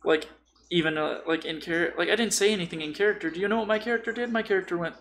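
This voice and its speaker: A man chatting casually on a podcast about games, telling stories in an informal, animated, sweary way. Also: high-pitched, quick pace. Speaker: podcast host or guest discussing tabletop games. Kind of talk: casual chat.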